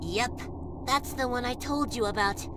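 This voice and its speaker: Woman voicing a high, smug talking flower. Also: a little slow, background noise, natural voice. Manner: smug, scornful, mock-sweet. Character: smug, scheming talking flower, mocking and condescending. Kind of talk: comic dub voice acting. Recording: music behind.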